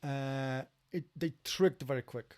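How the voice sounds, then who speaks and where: A middle-aged man with a Polish accent speaks English as a second language, chatting casually as a podcast guest with halting phrasing, repeated words and many fillers. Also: medium pitch, darkish tone, smooth. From Poland. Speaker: podcast guest, door security worker.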